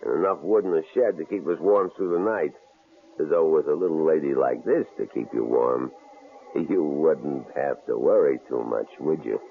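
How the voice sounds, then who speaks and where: An actor playing a menacing, sneering male stranger in an old radio drama, with a gravelly, mocking drawl. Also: a bass voice, normal speed. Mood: leering. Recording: tinny old radio broadcast, faint hiss.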